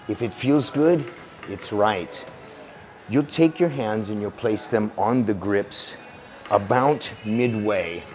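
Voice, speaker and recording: A man in his forties giving calm, steady step-by-step workout instructions as a voice-over. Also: fairly low pitch. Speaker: fitness instructor. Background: music.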